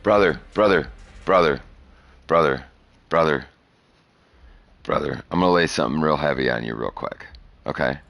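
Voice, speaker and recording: A man's voice: extremely low pitch, moderate pace, creaky, long pauses, fairly bright tone. A man in his thirties talking bluntly and profanely in a rambling casual podcast chat. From Chicago area. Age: 30s. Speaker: podcast host.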